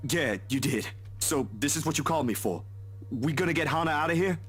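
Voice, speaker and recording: A man's voice: fairly high pitch, animated, a bit bright, slightly rough, soft background music, pitched above the performer's own voice. A man voicing a broad-built, awkward young man who halts and stammers. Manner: halting, stammering speech.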